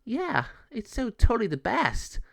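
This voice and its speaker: A man's voice: very high voice, wildly swooping pitch, unhurried, creaky, fairly bright tone, no echo, voice pushed up, full character voice. A man voicing a chatty young female fairy in a children's storybook, girlish and enthusiastic. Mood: excited. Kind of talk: children's storybook read-aloud.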